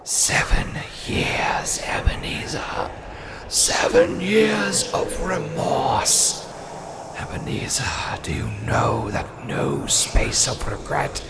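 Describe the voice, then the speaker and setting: An actor playing the tormented, remorseful ghost of a dead businessman in a radio drama, breathy, wailing and solemn. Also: fairly low pitch, heavily acted. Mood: anguished. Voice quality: breathy wailing, moaning delivery.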